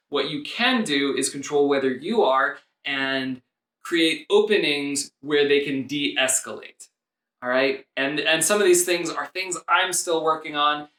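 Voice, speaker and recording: A man in his thirties with a loud voice, coaching viewers on calm communication in a video lesson, gesturing as he speaks. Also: fairly high pitch. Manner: animated, gesturing with both hands. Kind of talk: talking-head video lesson. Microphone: camera a few feet away. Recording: echoey room, voice slightly distant.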